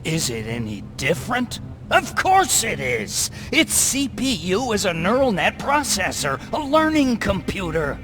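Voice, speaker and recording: Game's brainy resistance techie: earnest, excitable explainer, slightly high voice. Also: male voice, measured pace, music in the background, exaggerated voice. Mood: proud, eager. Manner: explanatory, excitable, technical phrasing. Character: brainy, earnest male resistance hacker and tech expert. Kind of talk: video game voice acting.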